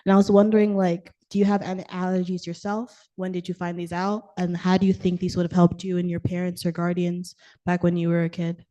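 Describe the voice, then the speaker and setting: A woman interviews a founder on stage. Her voice is low, mellow and flat. She is casual and chatty, saying "cool" often as she moves to her next question. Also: a little fast, hardly any pauses, dull audio.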